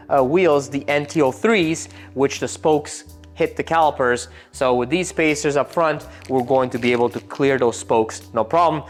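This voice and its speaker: A man hosting a technical car build video, explaining parts in a casual way with jokey asides, in a workshop. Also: fairly high pitch. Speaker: car tuning shop host.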